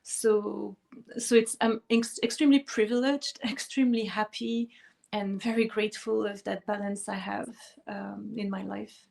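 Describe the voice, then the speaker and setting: A woman's voice: mid-range voice, steady tone, normal speed, creaky, darkish tone. A middle-aged woman from France, whose first language is French, speaking in a conversational interview with light laughter and frequent restarts. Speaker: guest musician.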